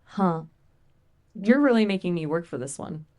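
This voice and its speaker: A woman's voice as a conversational AI assistant, chatting casually in a playful, expressive, colloquial way. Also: lowish voice, normal speed.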